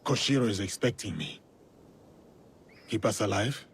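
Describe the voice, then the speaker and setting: An actor playing a stern, principled samurai warrior in a video game, speaking in a measured, firm, husky voice. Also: male voice, lowish voice, expressive, a little hiss or hum. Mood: resolute, calm. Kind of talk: dramatic video game acting.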